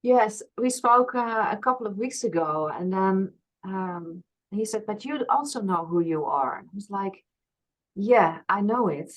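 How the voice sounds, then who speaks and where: Rich A Dutch-accented woman of middle pitch from Friesland speaks earnestly and openly, at times laughing at herself. She is a therapist talking as an interview guest. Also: animated, a little slow, poor audio. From Friesland, Netherlands. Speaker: guest, past life regression therapist. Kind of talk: reflective conversational interview.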